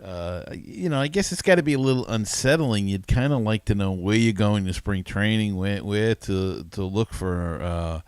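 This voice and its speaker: A man's voice: fairly low pitch, moderate pace, few pauses, a little mellow, clean tone, dry recording. A man hosting a podcast, chatting casually about sports. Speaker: podcast host.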